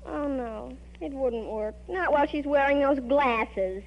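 A woman's voice: high voice, lively intonation, a little slow, vocal fry, full character voice. An actress playing a wide-eyed, skeptical American teenage girl sidekick in a 1940s radio comedy, blunt and slangy. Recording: dull old radio recording.

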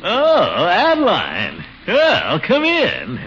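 An actor playing a flustered, pompous middle-aged uncle and commissioner in a blustering, sputtering old radio comedy style. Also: male voice, sits high, huge pitch swings, very slow, full character voice. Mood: worried, hesitant. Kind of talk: 1940s-50s radio comedy acting. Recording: noisy, muffled old radio broadcast.

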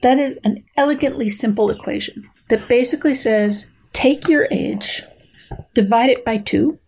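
A middle-aged woman, a guest scientist, reads a research paper aloud and explains it in conversation with a precise, playful, deliberate manner. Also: fairly high pitch, vocal fry, darkish tone, husky. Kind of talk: dramatic reading and explanatory conversation.

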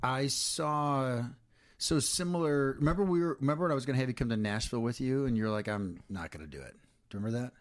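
A man's voice: medium pitch, fast talker. Playful teasing middle-aged man. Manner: playful, teasing, swears freely. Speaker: podcast co-host. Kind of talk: casual banter.

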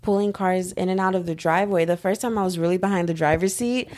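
A woman's voice: lowish voice, steady tone, fast talker, a little mellow. A woman in her twenties in a casual chat, laughing often and telling stories in slangy talk. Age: 20s.

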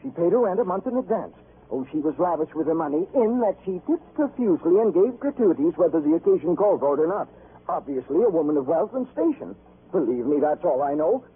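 An actor playing an excitable, self-important building superintendent, flustered and wordy, in a 1950s radio drama. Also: male voice, fairly high pitch, fast, slightly rough, exaggerated voice. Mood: fussy, pompous. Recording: tinny vintage radio recording, slight noise.

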